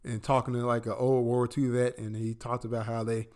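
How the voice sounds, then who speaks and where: A young adult man from the American Midwest co-hosting a casual political podcast, profane and emphatic, swinging between loud outbursts and low asides. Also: little pitch movement, brisk. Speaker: podcast co-host.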